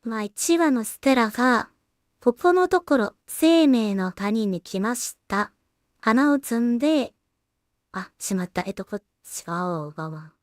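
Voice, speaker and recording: A woman with a slightly high voice talks slowly in a close, dry recording. She thinks aloud casually as she works, murmuring to herself in a hesitant way and adding polite asides for whoever is watching. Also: animated, no room sound. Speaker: solo animation creator and streamer.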